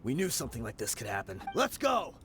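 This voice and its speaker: An actor playing a bold, combative warrior in a fantasy video game. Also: male voice, medium pitch, huge pitch swings, fast talker. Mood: urgent. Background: game music.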